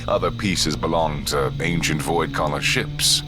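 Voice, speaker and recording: A man's voice: a bass voice, unhurried. A man narrating a sci-fi audio drama in a solemn, ominous, dramatic style over music. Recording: loud music under the voice. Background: cinematic music.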